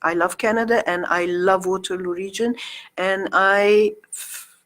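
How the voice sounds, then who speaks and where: A middle-aged woman from Egypt, whose mother tongue is Arabic, chatting as a talk-show guest and pausing often with ums and restarts. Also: mid-range voice, fairly even intonation, fairly bright tone. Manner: hesitant, many ums and restarts. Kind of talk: casual interview chat.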